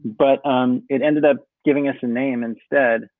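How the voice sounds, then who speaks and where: Gravelly man over tinny call audio. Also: medium pitch, normal speed, dry recording. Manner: relaxed, rambling, many "um" and "uh". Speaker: music producer. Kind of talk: casual interview chat.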